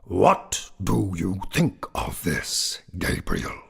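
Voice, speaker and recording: Storybook goblin: stern and malicious, gravelly, husky, drawn-out taunting delivery. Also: male voice, sing-song, full character voice. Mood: mocking. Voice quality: deep, forceful.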